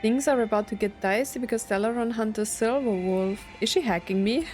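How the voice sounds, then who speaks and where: A woman's voice: a little high, brisk, darkish tone. A woman speaks as the host of a gaming video. She comments and reacts in a casual, conversational way. Faint music plays behind her.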